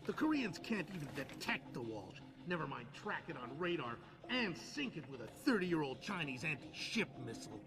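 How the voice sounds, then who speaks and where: High-pitched hawkish adviser. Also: male voice, very animated, normal speed, hardly any pauses. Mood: insistent. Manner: blunt, insistent. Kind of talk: video game cutscene acting.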